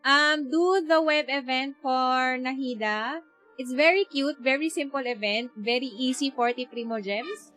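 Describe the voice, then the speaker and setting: A woman's voice: sits high, unhurried, fairly bright tone, soft background music. A woman with a Filipino accent chatting casually as she livestreams a game, easygoing and thinking aloud, switching between languages. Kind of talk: casual livestream chat while playing a game.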